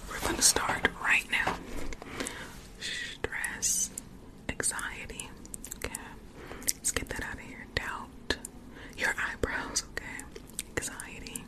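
Woman whispering soothing ASMR, very quiet. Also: rough recording. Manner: soft, soothing, repetitive whisper. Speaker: ASMR livestream host. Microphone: close microphone.

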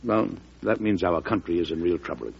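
An actor playing a resolute, worried U.S. President in an emphatic, oratorical old-time radio drama style. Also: male voice, sits low, lively intonation, a little fast, creaky, husky, heavily acted. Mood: urgent. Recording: muffled old radio recording.

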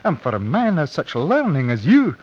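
An actor playing a proud, brooding young Scottish prisoner in an old-time radio drama, terse and lilting. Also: male voice, a little high, huge pitch swings, normal speed, breathy edge, exaggerated voice. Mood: pleading. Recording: muffled vintage radio recording.

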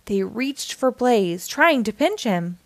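A woman reads a children's story aloud. Her voice sits fairly high and she takes her time, phrasing each part expressively. The sound is dry and close. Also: big pitch swings, no room sound. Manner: measured, clearly phrased. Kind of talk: expressive read-aloud narration.

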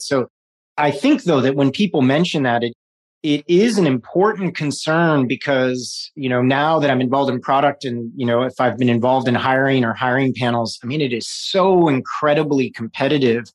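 A man's voice: medium pitch, normal speed. A man in his forties from New York City, a guest talking loosely in an interview, with lots of restarts and fillers and frequent laughs. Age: 40s. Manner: rambling, many restarts and fillers, laughs often. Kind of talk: casual interview conversation.

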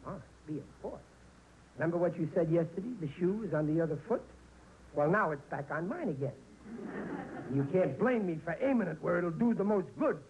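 Casting: blustering American working-class dad, animated high voice, stammering, old radio sitcom. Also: male voice, brisk, lo-fi sound. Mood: cocky. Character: blustering, scheming, hapless working-class husband and father. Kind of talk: 1940s radio comedy acting. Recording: noisy old radio broadcast recording.

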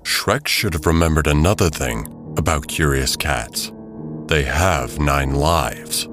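A man's voice: a bass voice, takes it slowly. A man narrating an audio drama in a scripted, dramatic storytelling style. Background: music bed.

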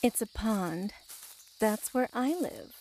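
A woman's voice: a little high, expressive, slow, darkish tone, rough recording. A woman playing an alluring blue water creature in an audio drama, coy and faintly mocking with hidden menace.

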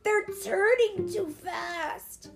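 A woman acts out an impulsive, excitable small boy in a children's storybook. The voice is very high and swoops about with childlike eagerness, with music underneath. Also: slow, heavily acted. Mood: alarmed.

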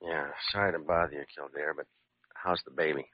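Very deep, awkward police lieutenant. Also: male voice, fairly even intonation. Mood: apologetic, worried. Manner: halting, apologetic. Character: awkward, earnest, softhearted male police lieutenant. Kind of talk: old-time radio drama acting. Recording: muffled vintage radio recording.

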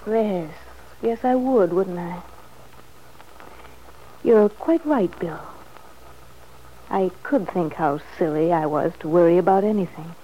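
Brittle, low-voiced wife, old radio soap. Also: female voice, slow pace, pauses often, dull audio. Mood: bitter irony. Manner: brittle, ironic, weary. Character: bitter, anxious pregnant young wife, sardonic and proud. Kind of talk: vintage radio soap opera acting. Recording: faintly noisy old radio recording.

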